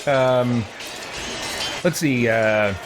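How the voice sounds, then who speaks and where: A man's voice: medium pitch, extremely slow, very noisy. A man giving casual live-stream game commentary, rambling as he thinks aloud and acts out lines. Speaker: streamer playing a roleplay game. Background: music.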